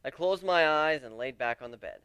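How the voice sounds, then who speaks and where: A man narrating a dramatic first-person story in an expressive, conversational way. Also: a little high, brisk, smooth, dry recording.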